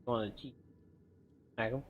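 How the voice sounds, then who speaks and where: A man casually commenting while streaming a game, relaxed and offhand, chuckling now and then. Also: mid-range voice, normal speed, phone-quality sound, slight background noise. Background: game music.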